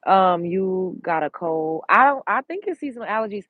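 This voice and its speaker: A woman's voice: mid-range voice, animated, moderate pace, no room sound, phone-quality sound. A congested woman from Brooklyn, chatting bluntly and profanely on a live stream, outdoors on a street, reading viewer comments. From Brooklyn, New York.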